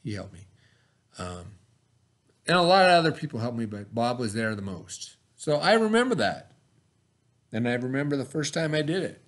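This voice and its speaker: Man, teacher addressing children, expressive, encouraging, dramatic pauses, widely varied pitch. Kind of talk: expressive read-aloud for children.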